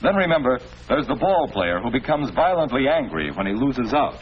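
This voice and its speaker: A man's voice: mid-range voice, very animated, moderate pace, lightly acted. An actor with an American accent playing a patient, fatherly schoolmaster who explains things in a 1940s educational film. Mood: patient, instructive. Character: patient, avuncular male school principal or teacher. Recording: noisy old 1940s film soundtrack.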